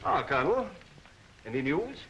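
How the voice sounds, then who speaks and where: An actor playing a gloating aristocratic villain in an imperious, theatrical 1930s film style. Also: male voice, on the high side, very animated, normal speed, lo-fi sound. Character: gloating, villainous aristocratic official who orders persecution. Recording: 1930s film soundtrack with faint noise.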